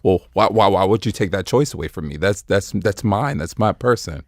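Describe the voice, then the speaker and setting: A man speaking casually and haltingly as a panelist discussing a play. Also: sits low, lively intonation, no echo, clean studio sound.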